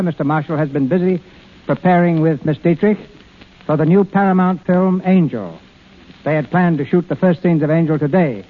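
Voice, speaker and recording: A man hosting a radio theater, reading formal scripted narration in a grand, theatrical 1930s announcing manner. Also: a little high. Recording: old 1930s radio broadcast, narrow tinny band.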